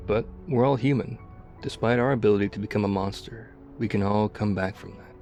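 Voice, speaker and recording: A man playing a battle-hardened, weary zombie apocalypse survivor in an intimate ASMR roleplay, guarded but quietly caring, speaking in a hushed, measured way. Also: lowish voice, natural voice. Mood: reflective, resolute. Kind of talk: ASMR audio roleplay, intimate one-sided dialogue.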